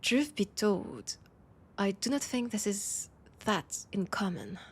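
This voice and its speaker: A woman with a French accent playing an ancient, proud and wistful vampire veteran in an intimate audio roleplay, with a husky, breathy voice and lingering pauses. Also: medium pitch, very animated, exaggerated voice. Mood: tender, sentimental. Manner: slow, sighing, lingering pauses.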